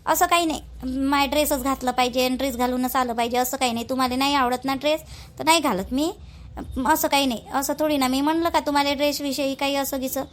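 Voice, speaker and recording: A woman's voice: high voice, fairly even intonation, fast talker, a little hiss or hum, lightly acted. A woman with a Vidarbha Marathi accent playing a dutiful young newlywed daughter-in-law in a village cartoon, chatty, rustic and deferential. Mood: reluctant, protesting.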